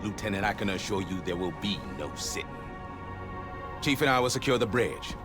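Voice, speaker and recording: An actor playing a gruff, good-humored sergeant major with folksy, swaggering banter in a game cutscene. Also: male voice, lowish voice, a little fast, over music, natural voice. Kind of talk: video game cutscene acting.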